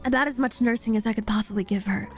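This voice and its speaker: A woman's voice: fairly high pitch, fast, soft background music. A woman reading a story aloud as a streamer, in dramatic first-person narration.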